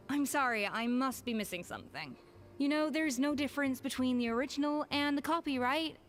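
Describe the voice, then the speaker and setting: A calm, composed former head chef with a slightly high voice. She speaks in an even, measured and dry way. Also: soft background music. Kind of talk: game voice acting.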